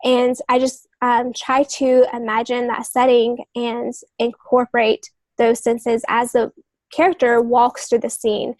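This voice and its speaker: A woman's voice: fairly high pitch, completely flat delivery, measured pace, darkish tone, dry recording. A woman speaking as a guest novelist on a podcast, explaining her methods somewhat hesitantly and often rephrasing herself.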